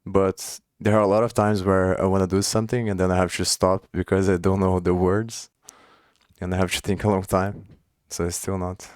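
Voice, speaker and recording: Low, flat-voiced Brazilian man. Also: normal speed, dry recording, clean studio sound. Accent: Brazilian Portuguese. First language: Portuguese. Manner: thinking aloud, restarts and trailing phrases. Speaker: coding streamer. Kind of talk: casual chat while coding on a livestream.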